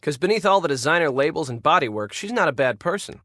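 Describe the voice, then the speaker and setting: Snippy, bright-voiced spa manager. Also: male voice, fairly high pitch, expressive, fast talker, no echo, studio quality. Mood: brisk, dismissive. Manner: snippy, bossy, sarcastic. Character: pushy, irritable, image-obsessed spa resort manager man. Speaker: game voice actor. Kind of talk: scripted video game dialogue.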